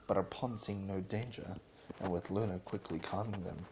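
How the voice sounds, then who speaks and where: A man narrating a story as a dramatic reading, in a solemn and somewhat halting way. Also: low voice, steady tone, noisy background.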